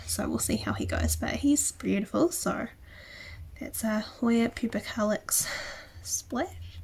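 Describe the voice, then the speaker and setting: A young woman with a New Zealand accent chatting to camera, a little croaky from a cold, enthusiastic and dipping into soft near-whispers. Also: a little high. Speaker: plant vlogger. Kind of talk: casual chat to camera. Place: indoor room with plant shelves. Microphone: camera close in front.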